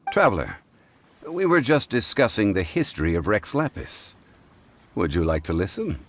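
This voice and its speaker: An actor playing a calm, learned male consultant in a game cutscene, deep and courteous, correcting others in an unhurried, polite way. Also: a little hiss or hum. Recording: game cutscene with faint score.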